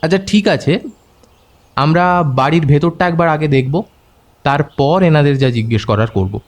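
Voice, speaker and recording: A man playing a brisk, thoughtful detective in an audio story, speaking in a clipped, conversational way. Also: fairly high pitch, natural voice. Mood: matter-of-fact. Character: brisk, thoughtful male detective, commanding yet casual. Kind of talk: Bengali audio story acting.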